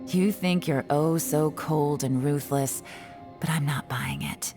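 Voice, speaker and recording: A cool, skeptical strategist with a fairly low voice. She is measured and pointedly sardonic, in game dialogue over music. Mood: cool, cutting. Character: cool, shrewd adult female strategist, skeptical. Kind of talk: video game voice acting.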